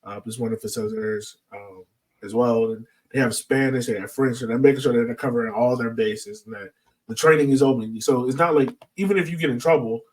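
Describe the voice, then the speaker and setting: A man's voice: medium pitch, fast talker, darkish tone. Male podcast host, mid-range voice slightly off-mic, conversational, talks points through plainly. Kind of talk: casual podcast discussion. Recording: voice distant from the microphone.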